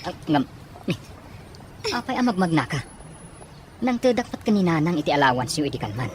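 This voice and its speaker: A man's voice: on the high side, very animated, fairly quick, slight background noise. A man playing a concerned, dutiful father in an Ilocano drama, speaking earnestly. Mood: worried, caring. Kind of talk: Ilocano radio drama acting.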